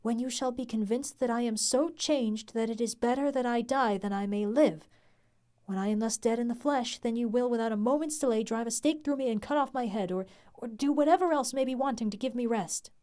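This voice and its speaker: A woman's voice: fairly high pitch, a little fast, mellow, voice pushed up, close to an everyday voice. A woman voicing a devoted young wife who speaks earnestly and solemnly of her own death. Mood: solemn pleading.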